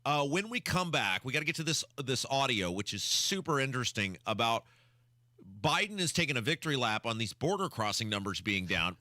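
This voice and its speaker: A man's voice: mid-range voice, pushed and bright, no room sound, clean studio sound. A man hosting talk radio, giving opinionated commentary in an exasperated, emphatic way with rhetorical questions. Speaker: radio talk show host.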